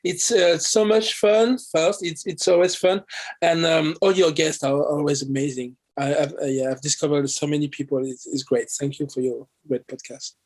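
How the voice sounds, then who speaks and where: High-voiced, hesitant Frenchman. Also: steady tone, normal speed, darkish tone. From Paris, France. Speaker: musician, interview guest. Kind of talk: casual interview chat.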